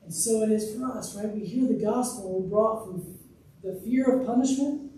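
Pastor, man in his thirties, earnest pulpit sermon, dark mellow tone, distant mic in a reverberant sanctuary with faint ambience. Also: sits high, normal speed, slight background noise. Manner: earnest, emphatic preaching with open gestures. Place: church sanctuary, speaking from a pulpit. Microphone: room mic, far from speaker. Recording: echoey distant church recording.

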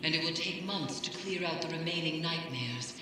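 A woman's voice: low-pitched, normal speed, lightly acted. Game role: actress as a solemn, ominous female mystic, very echoey, with noisy ambience behind. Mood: grim, foreboding. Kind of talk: scripted game dialogue.

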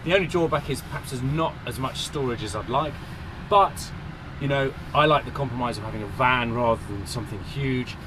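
Cheerful presenter, forties, chatty walkaround on a breezy coast, mid-pitched voice, noisy outdoor audio. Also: male voice, a little fast. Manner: chatty, enthusiastic, pointing things out as he walks. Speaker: presenter reviewing a camper van. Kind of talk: upbeat walkaround review. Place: outdoors by a van on a windy coast, and inside the van.

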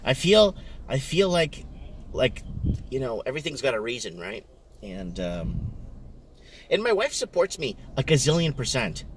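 A man's voice: medium pitch, unhurried, long pauses, far from the mic. A middle-aged man whose first language is Portuguese, chatting casually and rambling to a dashboard camera from his car. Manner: rambling, animated, many restarts and fillers. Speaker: vlogger. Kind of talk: casual chat while driving. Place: parked and driving car. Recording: car and street noise behind.

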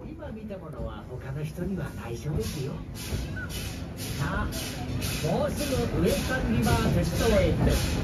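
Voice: medium pitch, big pitch swings, moderate pace, long pauses, distant mic, very noisy. A polite narrator giving guiding announcements on a theme park train ride, heard through the ride's speakers. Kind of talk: recorded attraction narration. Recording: ride speaker heard from afar with music and crowd.

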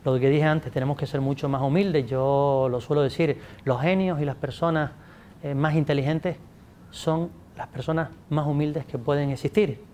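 A man's voice: on the high side, fairly even intonation, normal speed, a little mellow, a little hiss or hum. A man in his forties, a native English speaker, answering interview questions about literature in a studio, with lots of restarts and gestures. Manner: gestures a lot, many restarts, addresses audience. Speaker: guest speaker, literature scholar. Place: studio set with pink backdrop. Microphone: table mic.

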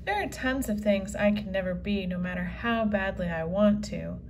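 A woman reading the lines of an excitable young girl in a storybook comic. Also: mid-range voice, moderate pace, slight background noise, pitched below the performer's own voice, close to an everyday voice. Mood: eager.

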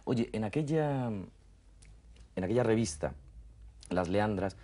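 A man in his thirties with a deep, relaxed voice. He puts questions conversationally, as the interviewer on a television programme. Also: slow pace. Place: dim furnished lounge, indoors. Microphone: table microphone on a stand.

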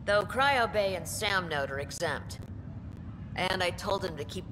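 An actress voicing a composed female ship captain in a video game, speaking in a brisk, matter-of-fact way. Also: on the low side, expressive, in a large hall, noisy background.

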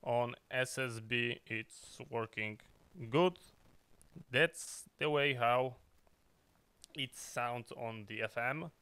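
A man's voice: medium pitch, extremely slow, pushed and bright, smooth, dry recording. A man who is not a native English speaker, explaining in an unscripted, choppy way as a video host. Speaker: video host, radio hobbyist.

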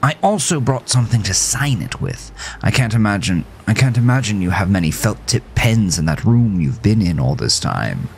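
A man's voice: on the low side, very animated, normal speed, gravelly, few pauses, a little hiss or hum, voice pushed up. A man voicing a dapper, sinister talking horse in a horror audio story, theatrical, formal and effusively genteel. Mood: delighted, teasing.

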